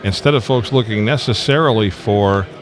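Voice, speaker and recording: Older man hosting over crowd noise, lowish voice. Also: moderate pace. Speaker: radio show host and interviewer. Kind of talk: casual live interview chat. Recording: live outdoor event with loud crowd behind. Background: busy crowd at a convention.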